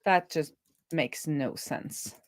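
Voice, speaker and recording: A woman's voice: low voice, slow pace, no echo, studio quality. A woman live streaming a casual coding walkthrough, talking informally and thinking aloud to herself as she works.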